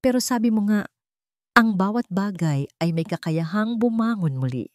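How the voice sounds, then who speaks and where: A woman's voice: medium pitch, animated, moderate pace, voice pushed up, natural voice. A woman plays an earnest, pleading father in love from a romance storybook. He speaks tenderly and with feeling. The sound is dry, without room echo. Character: remorseful grown man, earnest, tender widowed father in love. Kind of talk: audio storybook romance acting.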